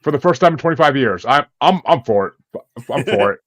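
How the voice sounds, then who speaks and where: A man's voice: mid-range voice, lively intonation, a little fast, a bit bright, no echo. A man hosting a casual music podcast, chatting informally and swearing freely. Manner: casually profane. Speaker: host of a music ranking show. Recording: dull, lo-fi microphone sound.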